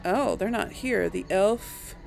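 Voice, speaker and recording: A woman's voice: mid-range voice, slow pace, vocal fry, darkish tone, soft background music. A woman giving casual let's play commentary on a video game, thinking aloud as she plays. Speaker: gamer narrating a role-play playthrough.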